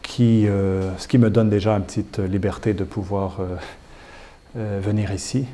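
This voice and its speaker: A man answers interview questions as a bishop. His voice is low, flat and mellow, and he pauses often and starts phrases over. A faint hum of ambient noise sits behind him. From Canada. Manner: hesitant, many fillers and restarts. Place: chapel.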